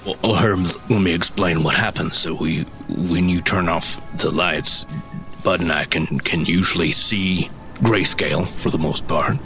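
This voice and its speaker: An actor playing a shy, halting mushroom forager in a fantasy audio drama. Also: male voice, low-pitched, steady tone, music in the background. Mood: earnest explaining. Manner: hesitant, halting, not much for talking.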